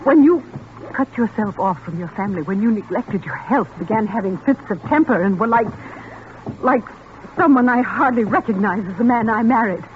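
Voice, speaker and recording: Actress as distraught wife, tinny old radio. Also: female voice, a little high, animated, moderate pace, lightly acted. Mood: anguished, accusing. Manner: earnest, emphatic, melodramatic. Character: distraught, accusing wife of an obsessed scientist. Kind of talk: 1940s radio suspense acting. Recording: tinny, noisy 1940s radio recording.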